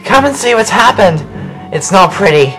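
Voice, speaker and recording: A man's voice: sits high, sing-song, moderate pace, sharp tone, exaggerated voice, poor audio. A man playing a matter-of-fact railway fireman in a fan audio drama. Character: plain-spoken male railway fireman. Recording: background music.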